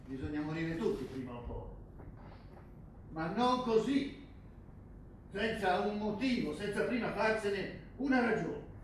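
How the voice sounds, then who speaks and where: A man's voice: high voice, animated, measured pace, pauses often. A male stage actor playing a bitter, defiant rugby coach, confrontational and accusing in a theatrical drama. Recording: very echoey distant stage recording, faint noise.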